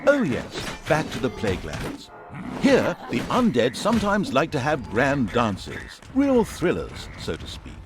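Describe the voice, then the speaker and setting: A theatrical fantasy game narrator, wry and mock-grand. His mid-pitched voice rises and plunges very freely for comic drama, with music clearly behind it. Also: wildly swooping pitch, measured pace. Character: wry, theatrical male storybook narrator of evil's conquests.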